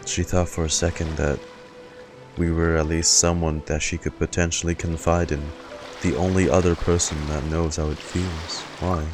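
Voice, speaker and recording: A man's voice: sits low, flat delivery, normal speed. A man streamer reacting casually to a game and reading its dialogue aloud over game music. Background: loud game music.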